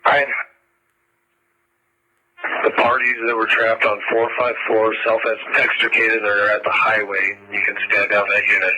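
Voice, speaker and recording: A very sharp, fairly low male voice comes over a two-way radio. He gives short, flat instructions in police radio style, with little movement in pitch. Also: a little slow, long pauses, poor audio. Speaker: emergency incident officer. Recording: scanner radio with faint static.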